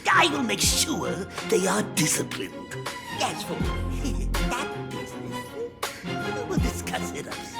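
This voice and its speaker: Wildly swooping, boisterous gang boss. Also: female voice, a little high, huge pitch swings, slow pace, loud music behind the voice, exaggerated voice. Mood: breezy command. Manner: loud, swaggering. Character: boisterous female gang boss, playful and commanding. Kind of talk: video game voice acting.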